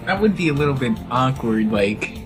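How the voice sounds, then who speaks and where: A man's voice: medium pitch, expressive, moderate pace. A man chatting casually as a podcast co-host. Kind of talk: casual chat. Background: music behind the voice.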